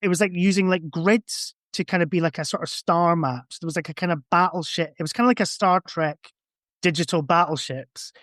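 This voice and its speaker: A man's voice: fairly high pitch, a little fast, a bit bright, no echo, studio quality. A man chatting casually as a podcast guest, giving rambling, conversational explanations. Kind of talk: casual chat.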